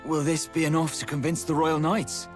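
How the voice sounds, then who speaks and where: A man's voice: on the high side, level tone, moderate pace, close to an everyday voice. A man playing a loyal, helpful mercenary in a fantasy video game cutscene. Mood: confident, helpful. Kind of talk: English game cutscene voice acting. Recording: music behind the voice.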